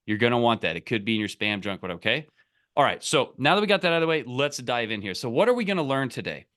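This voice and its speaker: Bright, punchy male business coach. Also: medium pitch, very animated, fast talker. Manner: emphatic, direct, salesy, often checking with "okay?" and "right?". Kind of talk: casual coaching, explaining and giving example scripts.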